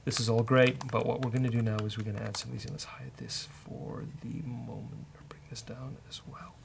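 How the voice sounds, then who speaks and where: A man's voice: medium pitch, little pitch movement, brisk, gravelly, noisy background. A man hosting a casual live coding walkthrough, thinking aloud and joking as he works. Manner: joking, bantering with co-host. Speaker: host of a programming show, coding live.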